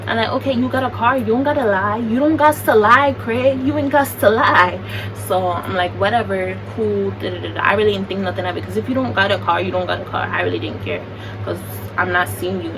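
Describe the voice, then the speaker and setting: Young woman yapping through a storytime vid, mic kinda far, noisy bg. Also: mid-range voice. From United States. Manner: chatty, animated, slangy. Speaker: vlogger. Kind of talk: casual storytime. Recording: distant mic with background noise.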